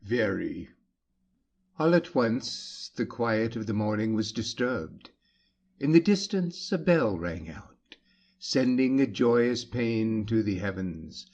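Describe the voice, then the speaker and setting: A man narrating a classic story in a measured, declamatory audiobook style. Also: lowish voice, lively intonation, a little mellow. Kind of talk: dramatic scripted audiobook narration.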